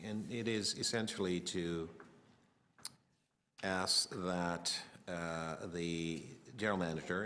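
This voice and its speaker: A man with a very deep voice chairs a council committee, moving things along in a brisk, formal manner. His words ring out with the long reverb of a council chamber, over a faint hum of background noise. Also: a bit bright.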